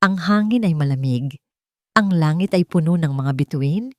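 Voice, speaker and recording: Low-voiced Filipino woman narrating drama. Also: lively intonation, moderate pace, darkish tone, dry recording, studio quality. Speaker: storyteller. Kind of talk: dramatic scripted narration of a love story.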